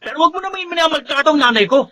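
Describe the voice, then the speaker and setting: High-pitched resentful Filipino teen son. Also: male voice, expressive, very fast, pushed and bright, a little hiss or hum, exaggerated voice. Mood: indignant. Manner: confrontational, aggrieved. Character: resentful, protective young student son. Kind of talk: Filipino radio drama acting.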